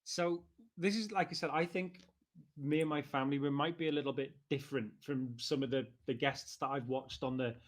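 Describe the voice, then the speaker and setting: A man's voice: medium pitch, fairly even intonation, fairly quick. A man in his thirties with a Liverpool accent, chatting as a podcast guest in a rambling way with many fillers. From Liverpool, England. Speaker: interview guest. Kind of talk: casual interview chat.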